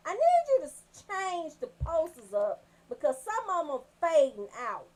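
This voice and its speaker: A woman's voice: high-pitched, big pitch swings, moderate pace, a little mellow, low-quality recording. A woman telling comic stories as a radio show host, brash and freely swearing.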